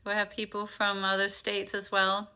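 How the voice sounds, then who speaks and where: A woman from Denmark whose first language is Danish leads an informal live session. Her voice is very bright and forward, at a middling pitch. She speaks to attendees directly, welcoming them and explaining as a teacher does. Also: completely flat delivery, normal speed, clear, resonant tone. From Copenhagen, Denmark. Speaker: author and meditation teacher hosting a live session. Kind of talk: informal live online talk and guided teaching.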